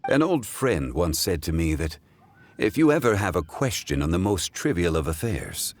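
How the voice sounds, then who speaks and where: A man voicing an ancient, wise, scholarly gentleman in a video game cutscene, speaking in a formal, measured and reflective way. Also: low-pitched. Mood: warm regard. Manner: formal, measured, eloquent, contemplative.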